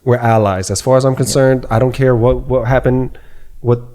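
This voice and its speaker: A man with a dark, mellow voice hosts a casual podcast chat. He talks excitedly and keeps repeating phrases for emphasis. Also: medium pitch, normal speed. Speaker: podcast host.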